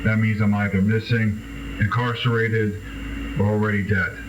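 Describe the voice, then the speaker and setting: A man playing a scared, bitter nineteen-year-old street kid confessing in a horror monologue, halting and grave, with swearing and dramatic pauses. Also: on the low side, flat delivery, close to an everyday voice. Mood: grim, urgent. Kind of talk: found-recording horror monologue acting. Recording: noisy, distant, muffled amateur radio-like tape.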